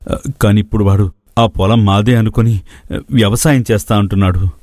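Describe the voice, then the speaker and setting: A man's voice: lowish voice, fairly even intonation, a little fast, a little mellow, dry recording, studio quality, lightly acted. A man playing an anxious, evasive older village farmer father, hesitant and stammering. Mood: anxious confession.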